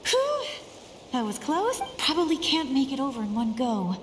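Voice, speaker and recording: A woman voicing a plucky, stubborn young female scout in a video game, expressive and playful. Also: high voice, moderate pace, slight background noise. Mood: focused. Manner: animated, expressive, sighing and exclaiming.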